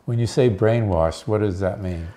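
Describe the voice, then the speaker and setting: A man's voice: on the low side, moderate pace, warm tone. A man hosting a community television interview, asking simple questions and giving brief encouraging backchannels. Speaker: host.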